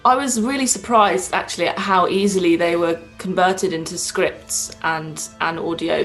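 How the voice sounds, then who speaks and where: A woman's voice: on the low side, vocal fry, low-quality recording. A woman speaking earnestly and enthusiastically in an interview as an audio drama producer. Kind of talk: interview talk.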